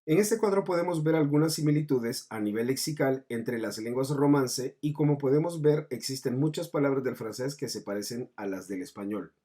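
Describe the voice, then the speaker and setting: Man, language teacher, muted mellow tone, husky voice, mid pitch, didactic scripted narration. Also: moderate pace, hardly any pauses. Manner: didactic, mixing in French terms. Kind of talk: scripted explanatory lesson narration.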